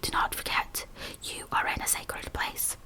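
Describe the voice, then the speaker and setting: A woman voicing a gentle, cautious male clergyman, whispering careful questions. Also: unhurried.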